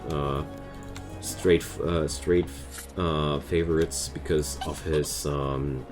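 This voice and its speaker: A man with a German accent giving casual gameplay commentary, thinking aloud as he plays. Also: a bass voice. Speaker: gaming video creator. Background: music.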